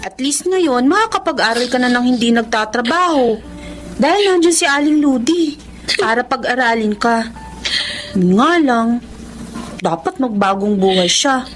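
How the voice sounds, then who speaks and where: Radio drama part, female actor: caring Filipina girl of nineteen, high voice, sensible comforting tone, light music bed. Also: lively intonation, moderate pace, lightly acted. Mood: consoling. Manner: consoling, advising. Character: gentle, sensible nineteen-year-old best friend, female.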